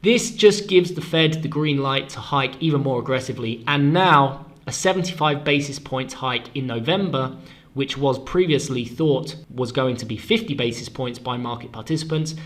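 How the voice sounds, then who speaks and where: Stuffed-up man giving a market forecast. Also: mid-range voice. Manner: brisk explanatory delivery, stressing key words. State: stuffed up from a cold. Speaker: forex analyst and show host. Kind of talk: weekly market forecast talk.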